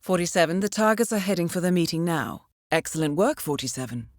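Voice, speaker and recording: Woman acting as female mission handler, lowish voice, coolly formal, measured, lively intonation, dry close sound, video game briefing. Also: no echo, close to an everyday voice. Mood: cool, efficient. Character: composed female intelligence handler briefing an assassin.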